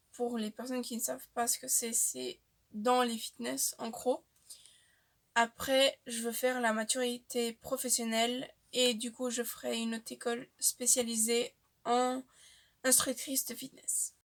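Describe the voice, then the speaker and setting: A young woman, chatting casually to the camera about herself with frequent filler pauses. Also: on the high side. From Portuguese-speaking family, raised in Switzerland. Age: young adult. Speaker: vlogger. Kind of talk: casual facts-about-me vlog.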